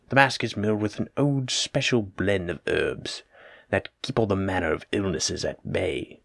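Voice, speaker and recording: A plague doctor, acted out by a man speaks in a lowish voice, close and dry. He is formal, polite and a little self-important, choosing old-fashioned words in a fussy, evasive way, as in an audio roleplay addressed straight to the listener. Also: gravelly, no echo.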